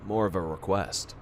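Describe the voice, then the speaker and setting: A man's voice: low voice, a little hiss or hum. A man acting a solicitous, formal minder to a young prince, speaking politely in a fan radio play.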